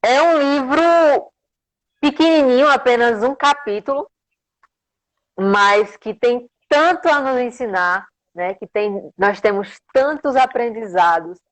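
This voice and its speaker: A woman's voice: a little high, measured pace, poor audio. A woman with a strong accent from the rural interior of Paraíba in northeastern Brazil, preaching devotionally and reverently on a livestream as a guest. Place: remote social media livestream.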